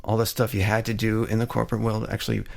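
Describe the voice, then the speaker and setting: A male podcast host asking questions slowly, searching for his words. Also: on the low side, monotone, vocal fry. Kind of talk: interview questions.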